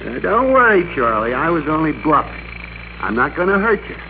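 An actor playing a meek middle-aged American office worker turned blustering, bossy master, in emphatic classic radio drama style. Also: male voice, fairly high pitch, very animated, fairly quick. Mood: gloating, threatening. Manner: emphatic, theatrical, commanding. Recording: muffled classic radio recording with hum.

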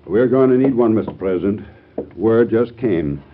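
A man's voice: lowish voice, animated, normal speed, a little hiss or hum, lightly acted. An American man playing a formal, grave Treasury Secretary in an old-time radio drama. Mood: grave, urgent. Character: grave Treasury Secretary bringing bad news. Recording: tinny old radio show recording.

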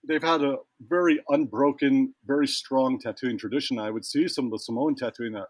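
A man's voice: medium pitch, steady tone, fairly quick, dry recording. A man speaking as an interview guest, telling a long personal story in a thoughtful, meandering way that trails off and restarts. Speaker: guest, ritual tattoo practitioner. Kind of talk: storytelling in a conversation.

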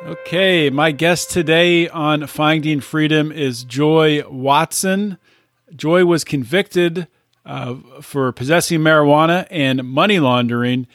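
A male interview host with a fairly high voice lays out the facts in a measured, explanatory way, often restarting his sentences. His sound is dry and close, with no room at all. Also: a bit bright, no room sound, faint music behind. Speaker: podcast host. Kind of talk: casual interview.

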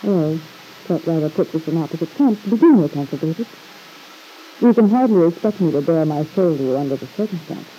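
A woman's voice: sits low, lively intonation, a little fast, dark tone. An actress playing a flippant, defensive young woman with an arch, ironic manner in an old-time radio soap opera. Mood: bitter, defensive. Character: flippant, defensive young wife and mother, a niece. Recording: muffled hissy old radio recording.

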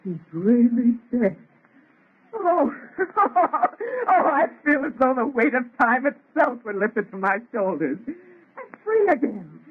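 Overwrought young scientist, swooping voice. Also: male voice, very high voice, big pitch swings, takes it slowly, breathy edge, exaggerated voice. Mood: overwhelmed relief. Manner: theatrical, fervent. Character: overwrought young scientist, tormented and intensely emotional. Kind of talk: 1930s radio melodrama acting. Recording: thin, tinny old radio recording.

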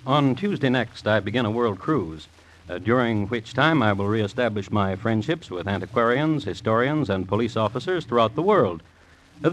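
An actor playing an urbane, dryly macabre crime historian who hosts a 1950s radio series in a formal, wry manner. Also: male voice, mid-range voice, close to an everyday voice. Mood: formal, cordial. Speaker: host and narrator of crime series. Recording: faintly noisy vintage radio recording.

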